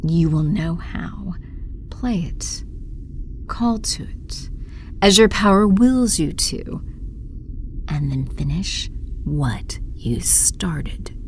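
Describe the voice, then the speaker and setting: A woman playing an imperious evil empress, coldly commanding with breathy half-whispers, in an audio drama. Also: lowish voice, animated, very slow, pauses often, darkish tone, full character voice. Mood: scheming.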